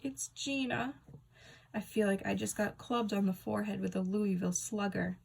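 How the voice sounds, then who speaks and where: A woman reading a children's book aloud as a storyteller, with expressive, dramatic and emphatic delivery. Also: mid-range voice, normal speed, vocal fry, slightly rough.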